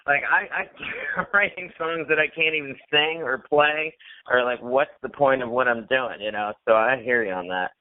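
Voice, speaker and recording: A man's voice: medium pitch, normal speed. A man in his thirties hosting a casual podcast, laughing often, self-deprecating and swearing freely. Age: 30s. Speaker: podcast host. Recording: dry, tinny phone-like sound.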